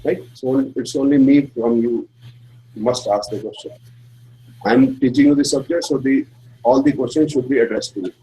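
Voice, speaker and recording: A man's voice: medium pitch, moderate pace, dark tone, rough recording. A man with an Indian accent, a native Hindi speaker, teaches an astrology class in simple English and often checks in with "right?". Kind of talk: classroom lecture.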